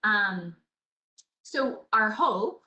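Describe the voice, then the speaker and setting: A woman's voice: medium pitch, animated, drawn-out words, sharp tone. A woman presenting a webinar, with small stumbles and self-corrections. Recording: echoey room.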